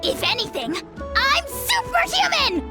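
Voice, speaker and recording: A woman's voice: extremely high pitch, huge pitch swings, a little slow, exaggerated voice. A woman playing a tiny, self-important dragon lord in a video game, pompous, blustering and easily outraged. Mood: indignant. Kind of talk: animated video game voice acting. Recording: loud music behind. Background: music.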